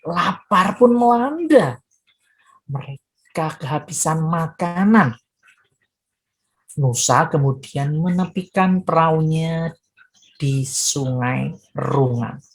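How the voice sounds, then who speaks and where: A woman's voice: sits low, expressive, slow pace, pauses often. A woman storyteller narrating expressively for children, with dramatic phrasing and direct address to her young listeners.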